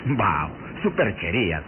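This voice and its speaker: A gruff police inspector thinks aloud in a low, slow voice, skeptical and questioning. It is radio drama acting, with a dull, noisy sound as of an old recording. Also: male voice, full character voice.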